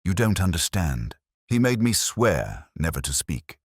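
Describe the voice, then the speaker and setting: Man performing desperate male baronet, frightened, pleading, husky low voice, audiobook mystery. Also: very animated, unhurried, dry recording, studio quality, exaggerated voice.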